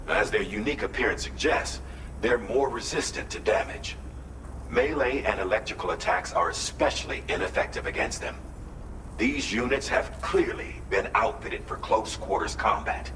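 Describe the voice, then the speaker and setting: A man playing a grave, formal dispatcher, giving a measured and earnest mission briefing in a video game. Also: on the low side. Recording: echoey, distant, noisy game audio.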